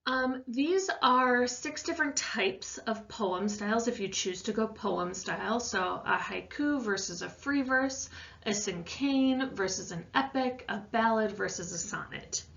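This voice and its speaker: A woman's voice: fairly high pitch, animated, unhurried, vocal fry, a bit bright, lo-fi sound. A woman science teacher explaining an assignment in a casual, conversational lesson voice-over with informal asides.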